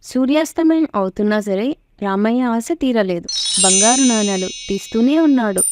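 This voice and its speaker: A woman's voice: fairly high pitch, moderate pace, a little mellow, a little hiss or hum. A woman narrator reading a scripted moral story for children in a storytelling style.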